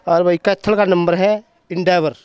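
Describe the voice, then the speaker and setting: A man's voice: high voice, steady tone, moderate pace, smooth, dry recording, highs cut off. A man, hosting a casual walkaround of a used car lot outdoors, chatting and talking up prices into a handheld mic. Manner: chatty, hyping prices, asks dealer questions. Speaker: host of a used car channel. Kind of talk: casual walkaround sales video.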